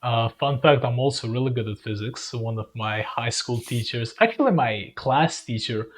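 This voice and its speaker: A man's voice: mid-range voice, expressive, moderate pace. Young man as podcast host; casual, loose, self-correcting delivery. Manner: friendly, rambling, self-correcting.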